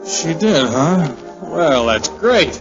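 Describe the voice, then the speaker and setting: Brash, animated young army major. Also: male voice, fairly high pitch, slow pace, full character voice. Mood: jealous, sour. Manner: slangy, wisecracking. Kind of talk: 1940s radio drama acting. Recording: muffled 1940s radio broadcast, music behind.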